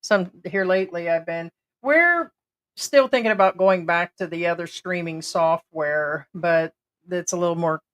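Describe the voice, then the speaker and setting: A woman's voice: fairly low pitch, unhurried, fairly bright tone. A woman co-hosting a casual livestream, chatty and affectionate, often calling her partner "babe" and cooing over animals. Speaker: livestream co-host.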